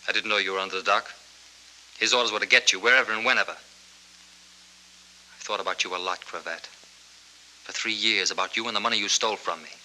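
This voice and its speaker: Cold 1940s crime-film villain, American, piercingly sharp voice, rapid terse threats, faint hiss. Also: male voice, lowish voice, steady tone, rough recording. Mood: cold, confessing. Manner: matter-of-fact, terse, threatening. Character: cold, menacing male killer and money launderer with a gun. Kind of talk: 1940s film noir acting. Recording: hissy 1940s film soundtrack.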